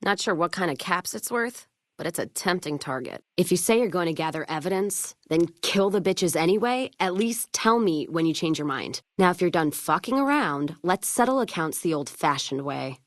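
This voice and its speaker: A woman's voice: lowish voice, expressive, normal speed, no room sound, studio quality, lightly acted. A woman playing a tough, blunt, hard-bitten caravan owner in a video game, with a sardonic manner. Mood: wry, blunt. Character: tough, foul-mouthed, whiskey-drinking woman caravan owner of the wasteland. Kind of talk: video game character voice lines.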